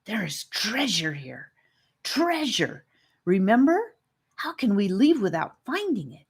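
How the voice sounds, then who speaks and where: A woman voicing an eager, sly, excitable young boy in a children's audiobook. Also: mid-range voice, slow pace, breathy edge, voice pushed up, full character voice. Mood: urgent, persuasive.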